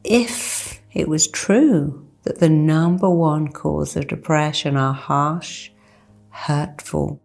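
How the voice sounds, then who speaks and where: A woman with a low, gravelly voice leads a guided meditation. She takes her time, repeating key phrases with deliberate, reassuring emphasis, like a therapist instructing a client. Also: darkish tone, faint music behind. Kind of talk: guided meditation, soothing hypnotherapy-style instruction.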